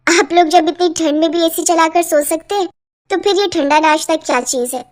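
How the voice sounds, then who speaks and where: A woman voicing an exasperated, sarcastic young daughter-in-law in a cartoon, complaining with a sharp tongue. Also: very high voice, moderate pace. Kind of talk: Hindi cartoon voice acting.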